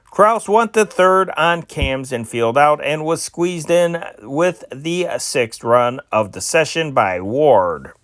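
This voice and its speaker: A man's voice: a little high, lively intonation, slow, few pauses, fairly bright tone, dry recording, clean studio sound. Man reading old sports news aloud. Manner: unpolished reading with restarts and uhs. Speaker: hobbyist newsreader.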